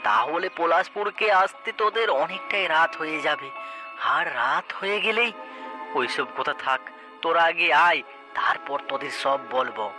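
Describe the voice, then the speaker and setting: A woman's voice: low voice, animated, normal speed. A woman voicing a caring, cautious village aunt in a cartoon story. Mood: cautioning. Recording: music behind the voice. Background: background music.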